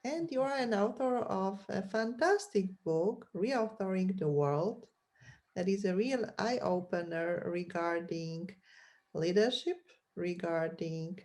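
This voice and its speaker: Host, woman, mid-pitch, slow and careful delivery. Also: a little mellow. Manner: slow and deliberate, with many fillers. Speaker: podcast host. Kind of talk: interview hosting.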